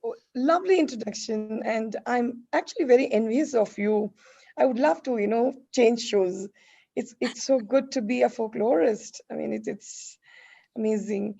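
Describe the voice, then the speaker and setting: Effusive woman hosting, high creaky voice. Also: normal speed, warm tone. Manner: effusive, gushing, polite. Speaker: panel host. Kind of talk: hosting an online panel discussion. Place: online video call. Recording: dull lo-fi video call audio.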